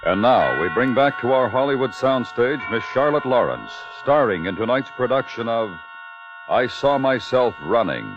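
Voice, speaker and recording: A man's voice: medium pitch, very animated, moderate pace. Male announcer, formal and dramatic, vintage radio, brass music bed, muffled sound. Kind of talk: 1950s radio announcing, scripted. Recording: dull 1950s radio recording.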